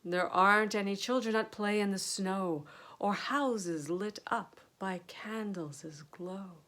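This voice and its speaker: Slow-spoken, stately old crone played by a woman. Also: medium pitch, fairly bright tone. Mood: gently chiding. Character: stately old crone, earth mother, gently chiding, kindly.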